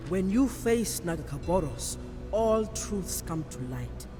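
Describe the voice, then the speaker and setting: An actress playing a solemn, devout serpent priestess in a game cutscene, speaking in a measured, ceremonial manner. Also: female voice, lowish voice, expressive. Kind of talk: dramatic game cutscene acting. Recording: reverberant hall with faint music behind.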